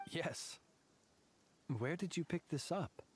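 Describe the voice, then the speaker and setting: An actor playing a grave, courtly soldier in a video game, speaking in formal, measured, deliberate phrases. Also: male voice, fairly high pitch, a little hiss or hum. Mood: composed, formal. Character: grave, composed, courtly male soldier, quietly vengeful. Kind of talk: scripted video game voice acting. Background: game music.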